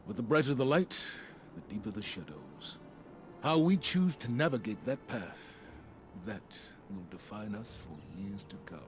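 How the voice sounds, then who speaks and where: A man playing a grave, philosophical mentor in a video game, speaking in weighty, measured, oratorical phrases. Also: on the low side, big pitch swings, soft background music. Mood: solemn. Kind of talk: dramatic video game cutscene acting.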